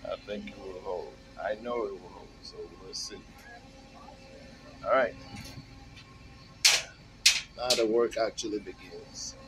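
A man in his forties, a mobile welder, casually talking to the camera in a laid-back, unhurried way while working outdoors at a job site, recorded on a phone. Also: on the low side, steady tone, over loud music, low-quality recording. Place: outdoors on a work site, beside a truck. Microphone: handheld phone camera and wireless earpiece.

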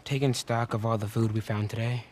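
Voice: mid-range voice, fairly even intonation, a little fast, a little mellow, slight background noise, natural voice. A performer with an American accent playing an anxious, guarded young boy survivor in a video game drama. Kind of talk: naturalistic video game cutscene acting.

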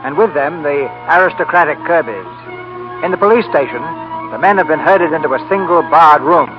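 A man's voice: fairly high pitch, animated, moderate pace. A man hosting and narrating a 1930s radio drama from a script. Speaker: host and narrator. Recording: muffled 1930s radio broadcast. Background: music.

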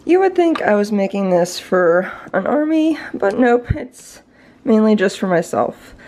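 A woman in her thirties chatting casually about what she's cooking in her home kitchen, relaxed and self-deprecating. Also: medium pitch, creaky. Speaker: home vlogger. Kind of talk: casual voice-over chat while cooking.

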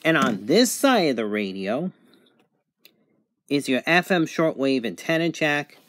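Slow-paced man demonstrating a product. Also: a little high, very animated, a bit bright, dry recording, clean studio sound. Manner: plain, step-by-step explaining. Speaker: product reviewer.

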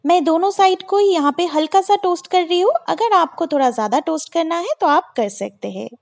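A woman's voice: very high voice, expressive, a little fast. A woman hosting a home cooking channel, talking viewers through a recipe step by step in a friendly, instructive voice-over. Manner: friendly, instructive, repeats steps clearly.

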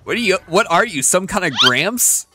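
A man's voice: sits high, animated, moderate pace. A man voicing a smug, laid-back cartoon hedgehog, teasing casually in comedic gameplay banter.

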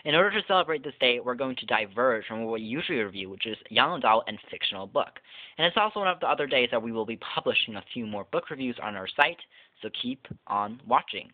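A man's voice: medium pitch, expressive, fast, no room sound. Man reviewing books through tinny, phone-like audio. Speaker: book reviewer and host. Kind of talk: casual book review and read-aloud of a children's picture book.

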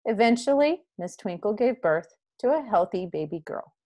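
A woman reading a picture book aloud for children as a school counselor, expressive and clearly phrased. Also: mid-range voice, normal speed. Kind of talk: children's storybook read-aloud.

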